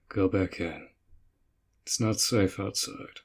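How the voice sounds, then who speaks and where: A man playing a dutiful, protective orc general in a roleplay audio drama, speaking in a measured, earnest way with a tender side. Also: deep voice, husky, full character voice. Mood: stern, exasperated. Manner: slow, measured, earnest, with sighs.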